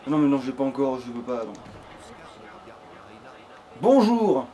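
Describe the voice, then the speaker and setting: A man's voice: medium pitch, big pitch swings, measured pace, mellow. A man doing casual, rambling let's play commentary with a friend, swearing crudely as he goes. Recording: background noise.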